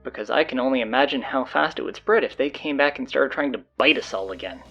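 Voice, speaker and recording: A man playing a flustered, sardonic stand-in high school announcer, rambling hesitantly and casually through audio drama announcements. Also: fast talker, gravelly, pushed and bright, muffled recording, soft background music. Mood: awkward, deadpan.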